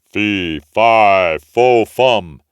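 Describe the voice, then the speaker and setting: A man voicing a huge man-eating ogre in a storybook, bellowing bossy commands and drawn-out chants. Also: on the low side, clear, resonant tone, dry recording, pitched above the performer's own voice. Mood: commanding.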